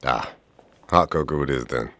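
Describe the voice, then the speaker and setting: Man acting as a male art professor, extremely low pitch, heavy vocal fry, charming, playful, flirty, easygoing, intimate ASMR roleplay. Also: dry recording, full character voice. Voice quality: very deep, gravelly.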